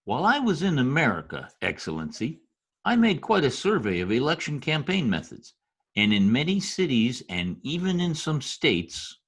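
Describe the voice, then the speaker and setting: Man acting a scheming adviser, husky voice, lively swings, wordy and deferential, radio comedy. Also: medium pitch, moderate pace, full character voice. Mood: deferential, insistent. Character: smooth-talking, scheming political adviser to a dictator. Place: home room, recorded over video call.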